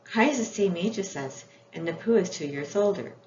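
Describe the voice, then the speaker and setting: Woman voicing an excited little girl, echoey room. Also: on the low side, moderate pace, natural voice. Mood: excited, welcoming. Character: Kukana, excited, affectionate young girl. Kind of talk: children's storybook reading. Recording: echoey room, dull sound, faint noise.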